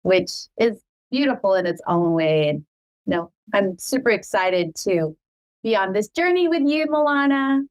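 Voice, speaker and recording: A woman's voice: medium pitch, lively intonation, normal speed. A middle-aged woman whose first language is Cebuano, hosting a podcast in a chatty, proud way and laughing often. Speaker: podcast host. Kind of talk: casual family chat.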